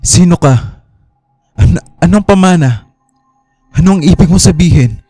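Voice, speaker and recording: An actor playing a fearful but determined young student who sees spirits, earnest and stammering, in a radio drama. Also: male voice, high-pitched, lively intonation, measured pace, dark tone, dry recording, heavily acted. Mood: bewildered.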